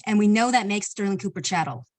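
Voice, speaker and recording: A woman's voice: medium pitch, lively intonation, quick pace, natural voice. A woman in an amateur table read playing a breezy, needling business partner. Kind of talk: amateur script reading.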